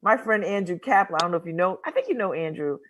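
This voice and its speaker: A woman hosting a casual interview show, chatty and quick to laugh as she asks questions. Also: on the low side, lively intonation, fairly bright tone. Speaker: talk show host.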